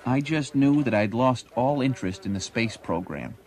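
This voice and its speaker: A man's voice: mid-range voice, lively intonation, normal speed, a little mellow, close to an everyday voice. An American actor playing a grown man who narrates memories of his boyhood crush in a wry, nostalgic, dramatic way. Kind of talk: scripted first-person narration.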